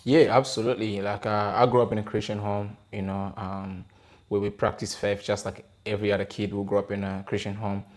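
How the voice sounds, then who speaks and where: A man in his thirties from the Democratic Republic of Congo, talking as a podcast guest. His voice sits fairly low, and his speech is hesitant and loose, full of fillers and self-corrections. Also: little pitch movement, a little fast, darkish tone. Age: 30s. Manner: hesitant, many fillers and restarts. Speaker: podcast guest, gospel rapper. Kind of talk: casual interview conversation.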